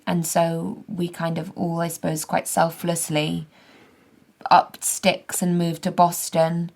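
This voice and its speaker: A woman playing a self-sacrificing, quietly resentful wife, speaking with hesitant restraint in a naturalistic audio drama. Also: on the low side, gravelly.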